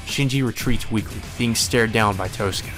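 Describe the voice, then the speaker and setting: A man's voice: on the low side, normal speed. A man reading visual novel narration aloud as a let's play host. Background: faint music.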